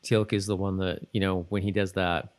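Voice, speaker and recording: Low-voiced man chatting casually. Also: level tone, fairly quick, smooth. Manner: rambling, hedging, often saying "like". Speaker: podcast co-host. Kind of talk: casual chat about a TV episode, reading trivia aloud.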